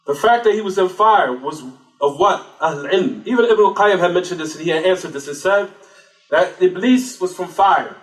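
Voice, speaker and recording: A man's voice: sits high, expressive, moderate pace. A man giving a religious lecture, often asking rhetorical questions and then answering them himself. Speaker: Islamic lecturer. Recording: echoey room, distant mic.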